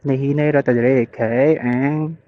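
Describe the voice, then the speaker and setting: A man's voice: medium pitch, steady tone, unhurried. A man with a Filipino accent chatting casually and thinking aloud as a motorcycle vlogger, in an easygoing, rambling way. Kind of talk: casual chat, rambling commentary while riding. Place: outdoors, riding a motorbike on rural roads. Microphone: helmet intercom headset. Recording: muffled helmet intercom audio.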